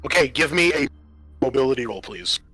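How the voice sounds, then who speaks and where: A man running a tabletop roleplaying game as game master, narrating in halting, pieced-together phrases. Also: mid-range voice, lively intonation, sharp tone, husky, no echo, soft background music.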